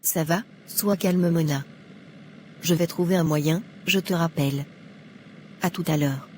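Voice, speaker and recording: A woman playing a judgmental young churchgoer in an animated moral drama, chatty and smug. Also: low-pitched, a little slow, a little hiss or hum. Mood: mildly annoyed. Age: young adult.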